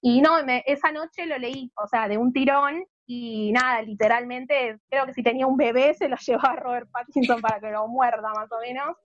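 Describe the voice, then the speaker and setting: A woman's voice: fairly high pitch, fairly even intonation, moderate pace, few pauses, no room sound, highs cut off. A woman in her thirties with a Buenos Aires accent, hosting a podcast and chatting casually while she tells her own stories. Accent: Buenos Aires Argentine Spanish. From Argentina. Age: 30s. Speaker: podcast host. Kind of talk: casual chat.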